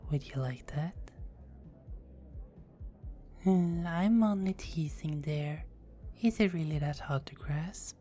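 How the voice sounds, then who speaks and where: Woman doing a teasing vampire lady, low voice, slow and mellow, soft whispers, faint music behind. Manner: soft-spoken, often whispering, playful teasing. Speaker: performer of own script. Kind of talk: ASMR roleplay.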